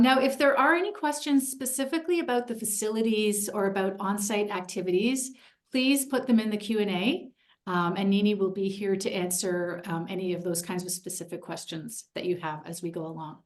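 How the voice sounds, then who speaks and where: A woman presenting an info session in a clear, organized, upbeat way. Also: mid-range voice, normal speed.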